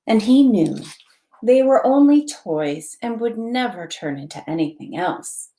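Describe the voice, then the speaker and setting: A woman reads a children's story aloud in an expressive, dramatic storytelling manner. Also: on the high side, a little slow, low-quality recording. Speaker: volunteer storytime reader, library board member.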